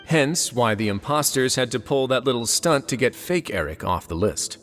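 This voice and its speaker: Game casting: a man as a calm warden laying out a theory, mid-range voice. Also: medium pitch, normal speed, soft background music, close to an everyday voice. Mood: measured. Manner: composed, deductive. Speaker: prison warden. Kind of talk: video game dialogue.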